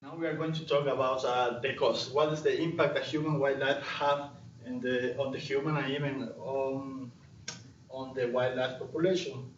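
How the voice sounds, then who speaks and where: A man's voice: medium pitch, steady tone, moderate pace, a little hiss or hum. Young ecuadorian guy presenting research, spanish accent, lots of uh's, far off mic, roomy lecture hall. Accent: Latin American Spanish. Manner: hesitant, frequent uh and restarts. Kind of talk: conference presentation. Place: lecture room. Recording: distant mic, roomy hall, dull sound.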